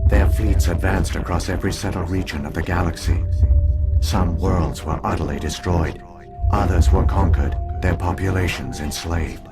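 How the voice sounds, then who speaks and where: An actor voicing an ancient alien artificial intelligence in a video game, grave and weary, with formal, measured speech. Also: male voice, deep voice, close to an everyday voice. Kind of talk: scripted video game dialogue.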